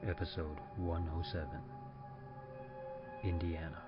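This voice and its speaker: Very deep, slow, theatrical male narrator. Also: flat delivery, highs cut off, loud music behind the voice. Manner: theatrical, rhyming intro read with menace. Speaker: narrator and announcer. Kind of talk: dramatic horror reading.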